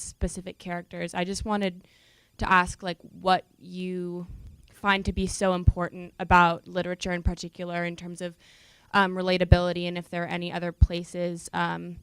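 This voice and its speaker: A young woman in the audience asks the panel a broad question, hesitant and full of "um"s. Her voice is very smooth, low-ish and flat in its melody, and the sound is dry. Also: no echo. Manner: hesitant, with many "um"s.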